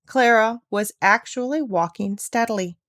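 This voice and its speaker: A woman's voice: a little high, moderate pace, fairly bright tone, no echo. Woman reading a storybook, dry sound. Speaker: narrator, reader. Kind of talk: scripted storybook read-aloud.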